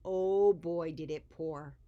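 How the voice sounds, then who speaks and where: Female picture-book reader: expressive, very slow delivery, lowish voice, stresses key words. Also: dull audio. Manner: dramatic pauses and emphasis on key words. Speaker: children's story reader.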